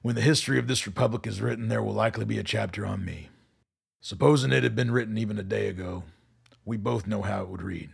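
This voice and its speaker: Guy acting a tough weary army colonel in an audio play, super raspy and crackly, dry delivery. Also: fairly low pitch, exaggerated voice. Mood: grim, commanding. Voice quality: gravelly, husky. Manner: dry, sardonic, deliberate. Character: hardened, sardonic male army colonel, weary and commanding.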